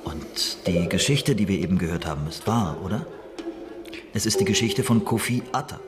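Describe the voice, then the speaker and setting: An actor playing an earnest investigator who questions people in a probing, insistent way in a radio drama. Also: male voice, low-pitched, animated, normal speed, noisy background. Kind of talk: audio drama acting.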